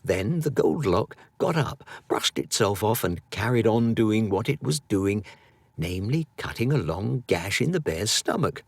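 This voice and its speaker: A male audiobook narrator with a lowish, creaky voice. He reads a children's story dramatically, stretching out key words, and his pitch moves in wide swings. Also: sing-song, no room sound. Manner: dramatic, drawn-out emphasis.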